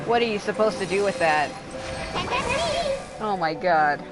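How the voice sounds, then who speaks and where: A woman's voice: fairly low pitch, measured pace, loud music behind the voice, poor audio. A woman livestreaming a video game with casual, rambling commentary, blunt and complaining, swearing freely.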